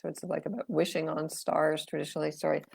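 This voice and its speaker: Low gravelly woman reading tarot live. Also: level tone, quick pace, darkish tone, no room sound. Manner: chatty, rambling with fillers, talks to viewers' comments. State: losing her voice. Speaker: tarot reader and astrologer.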